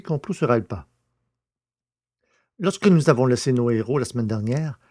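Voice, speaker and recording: Mid-pitched man presenting an audio podcast. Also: a little mellow. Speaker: podcast host and narrator. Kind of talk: scripted podcast presentation and story narration.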